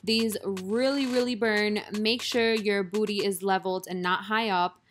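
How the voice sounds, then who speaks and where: A woman's voice: medium pitch, steady tone, measured pace, a little hiss or hum. A young woman narrating her workout video in a casual, self-deprecating, upbeat voice-over. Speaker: fitness vlogger. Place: voice-over, not recorded in the gym. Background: music.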